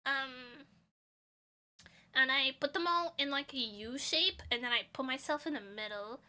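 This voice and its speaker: A young woman from Portugal chats casually with her stream viewers, giggling often. Her voice sits high and sounds very bright and sharp. She swings from loud excited bursts to quiet mumbles. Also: unhurried. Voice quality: giggly, chatty, drifts between loud bursts and soft mumbles. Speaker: vtuber streamer. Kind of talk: casual stream chat answering chat.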